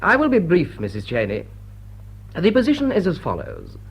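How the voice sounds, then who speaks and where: An actor playing a suave, drily witty English lord with an upper-class British accent, formal and deliberate in a period radio drama. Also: male voice, on the high side, wildly swooping pitch, creaky, breathy edge, heavily acted. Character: suave, sardonic English lord chairing a meeting. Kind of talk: period drawing-room radio drama acting.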